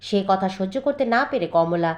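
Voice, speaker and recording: A woman's voice: mid-range voice, animated, fairly quick, highs cut off. A woman serves as the narrator of a fairy tale. She delivers scripted storytelling lines between the characters' scenes.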